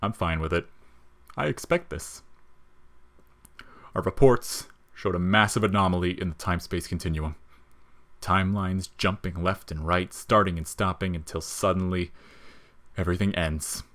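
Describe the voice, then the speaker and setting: A man's voice: low voice, moderate pace, long pauses, fairly bright tone. A man as a wry, world-weary skeleton. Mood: bitter, resigned. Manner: slangy, sardonic, explaining things casually. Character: wry world-weary skeleton monster, jolly front, bitter underneath.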